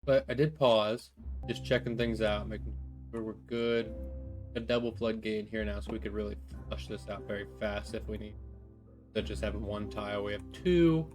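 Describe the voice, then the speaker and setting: A man with a somewhat low voice hosts a let's play, chatting directly to his viewers. He talks himself through each move as he plays, thinking out loud. Music runs clearly underneath his voice. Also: steady tone, moderate pace. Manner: friendly direct address to viewers. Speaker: gaming channel host. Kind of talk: let's play gameplay commentary. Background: background music.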